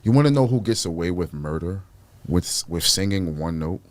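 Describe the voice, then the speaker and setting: Deep-voiced boastful man. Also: lively intonation, a little fast, noisy background. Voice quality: deep baritone. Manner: boastful, repeats himself, swears. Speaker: podcast host. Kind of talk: casual chat. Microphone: studio podcast mic.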